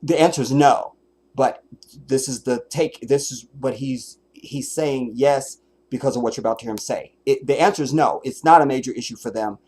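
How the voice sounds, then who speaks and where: A man's voice: mid-range voice, normal speed, a little mellow, breathy edge. A man streaming commentary over a clip, blunt and emphatic, swearing freely. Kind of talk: profane reaction commentary.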